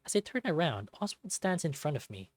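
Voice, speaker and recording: A man reading a visual novel aloud as expressive first-person scripted narration. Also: on the high side, a little fast, no echo.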